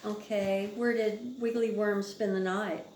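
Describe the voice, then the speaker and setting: A woman with a mid-range voice talks a small child through a picture book. She speaks casually, in short prompting questions and simple remarks. It is an amateur home recording, with clear room echo and slight background noise. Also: mid-range voice, fairly even intonation, measured pace. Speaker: mother reading with her young child. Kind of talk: casual read-along chat with a small child.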